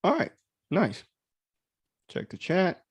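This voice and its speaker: A man's voice: high voice, huge pitch swings, takes it slowly, breathy edge, no echo. A man hosting a livestream in casual, rambling chat, commenting on his own audio drama and easily amused.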